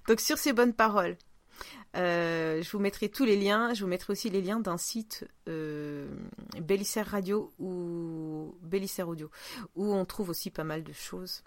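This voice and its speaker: A woman's voice: lowish voice, a little slow, dry recording. A woman hosting a podcast in a casual, informal and playful conversational style. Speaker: podcast host.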